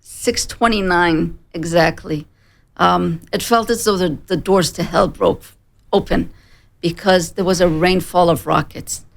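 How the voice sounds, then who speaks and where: A woman in her forties from Israel with a slight American accent, giving a personal account as a studio interview guest in plain, measured sentences. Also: lowish voice, creaky. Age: 40s. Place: broadcast studio.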